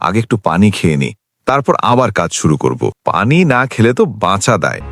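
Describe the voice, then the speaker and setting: A man's voice: low voice, very animated, fairly quick, fairly bright tone, full character voice. A man voicing a lazy, simple village farmer in a cartoon story, with a whiny, reluctant manner. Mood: complaining, weary. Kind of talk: dubbed cartoon dialogue.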